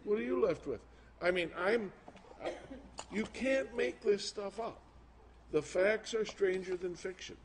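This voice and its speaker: Guy preaching live, kinda slow, folksy wording and emphatic, bit of background noise. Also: high-pitched. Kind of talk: informal sermon with show-and-tell to a congregation. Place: live talk before an audience. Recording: noisy live recording with room ambience.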